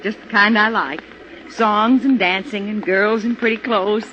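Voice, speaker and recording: An actress playing a chatty, gentle American wife in a 1950s radio drama. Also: female voice, medium pitch, fairly even intonation, unhurried. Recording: muffled, noisy 1950s radio recording.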